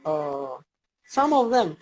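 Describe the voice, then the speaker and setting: A man's voice: fairly high pitch, big pitch swings, a little mellow, poor audio. Hesitant young man. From Somalia. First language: Somali. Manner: halting, hesitant English with many fillers. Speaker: university student, chat guest. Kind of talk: casual video chat.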